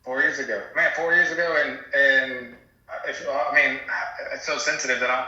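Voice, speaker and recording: A man's voice: medium pitch, steady tone, brisk, pushed and bright, very echoey, dull audio. A man hosting a casual phone chat, asking questions in a hesitant, tentative way. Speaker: host interviewing an old acquaintance.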